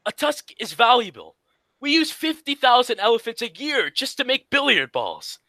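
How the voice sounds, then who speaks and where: A woman's voice: fairly high pitch, big pitch swings, moderate pace, bright, forceful tone, no room sound. A woman playing a blustery, exasperated male straight man in an old-time radio comedy, scolding and repeating phrases emphatically. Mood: boastful.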